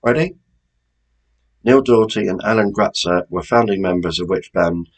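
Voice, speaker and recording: A man's voice: on the low side, normal speed. A British man presenting a quiz in a chatty, informal way, as the host reading out questions.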